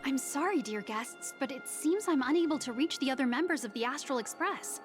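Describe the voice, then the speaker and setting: A courteous young receptionist in a video game. Her voice is high and formal, apologetic and easily flustered. Music is faint behind her. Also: expressive, a little fast. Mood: polite.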